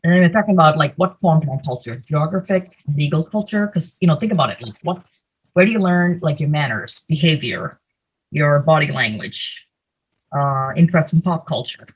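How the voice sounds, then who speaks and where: A woman's voice: deep voice, animated, normal speed, breathy edge, muffled recording. A young woman from India giving a conversational slide presentation over a video call, with plenty of fillers. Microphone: computer mic, video call.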